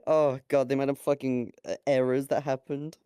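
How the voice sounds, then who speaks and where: A man's voice: medium pitch, level tone, moderate pace, dark tone, clear, resonant tone, dry recording, clean studio sound. A man co-hosting a casual podcast, chatting off the cuff with jokes and swearing. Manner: joking, sweary. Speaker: podcast co-host. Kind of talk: casual unscripted podcast banter.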